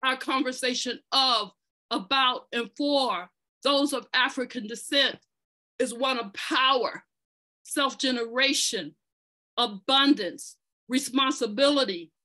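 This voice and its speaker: A woman's voice: high-pitched, slow, sharp tone. A woman telling the story of an organization in an earnest, conversational way, partly reading aloud, with fillers and restarts. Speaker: podcast presenter telling an organization's history.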